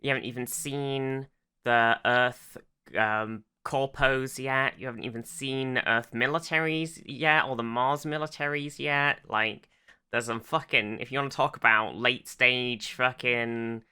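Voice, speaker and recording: Clear, bright-voiced podcast co-host. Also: medium pitch, steady tone. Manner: halting, circling explanations with frequent swearing. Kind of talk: casual podcast discussion.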